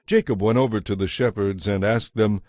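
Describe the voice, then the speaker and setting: Male scripture narrator, lower register, dry studio closeness, measured and dramatic scripted delivery. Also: darkish tone, no echo.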